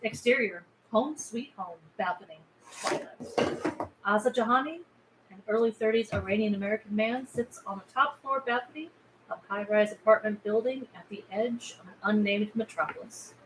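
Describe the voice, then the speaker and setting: A woman's voice: mid-range voice, normal speed. A woman narrator reading screenplay stage directions aloud at a table read. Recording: faint background noise.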